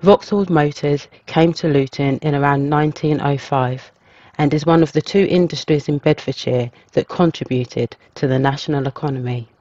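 A man's voice: on the high side, a little mellow, no room sound. Man narrating in a dry, muffled voice-over. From England. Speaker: storyteller narrator. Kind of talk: scripted documentary narration.

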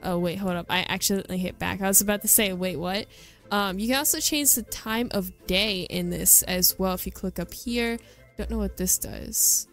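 A woman presents a game showcase in an informal, chatty way. Her voice sits at a middle pitch for a woman. Soft music plays behind her casual commentary. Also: moderate pace. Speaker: gaming video host.

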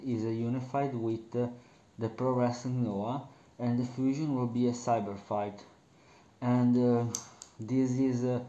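A man's voice: medium pitch, dark tone. A young man from Italy with an Italian accent, hosting a casual wrestling talk in English, with many fillers and restarts. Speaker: wrestling commentary host. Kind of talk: casual solo talk to camera. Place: small bedroom, indoors. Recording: roomy, dull lo-fi webcam sound.

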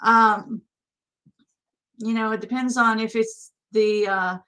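A woman's voice: a little high, steady tone, slow, bright, forceful tone. A middle-aged woman with an American accent, co-hosting a casual, conversational question-and-answer chat. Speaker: co-host. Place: small indoor office.

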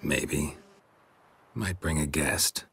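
An actor playing a hardened, laconic monster hunter in a video game, terse and deadpan with a gruff, husky voice. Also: male voice, a bass voice, very slow, heavily acted. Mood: dry, terse. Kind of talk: video game dialogue acting.

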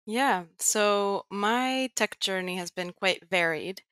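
A young woman, Greek-born with a slight American accent, speaking as an interview guest over a video call headset, measured and pausing with frequent ums. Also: mid-range voice, fairly bright tone, smooth, no echo. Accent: American-leaning international. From Greece. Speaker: guest, tech writer and educator. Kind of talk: casual interview talk. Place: home room with plain wall.

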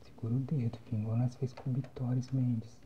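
A young Brazilian man giving casual, slangy ASMR gameplay commentary in a soft, breathy whisper. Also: medium pitch, flat delivery, a little fast, dull audio, a little hiss or hum. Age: young adult. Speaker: gamer, ASMR channel host. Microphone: phone microphone, close.

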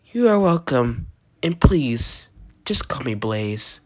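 Woman acting composed female cat princess, formal, reserved, comic dub, low pitch, deliberate pace. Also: sing-song, a little mellow, heavily acted. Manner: polite, measured, composed.

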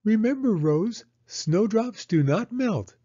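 A man's voice: high-pitched, animated, slow pace, dark tone, muffled recording, pitched above the performer's own voice, full character voice. A man voicing a sweet, eager, curious little girl mouse in a children's storybook, with earnest childlike questioning. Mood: hopeful.

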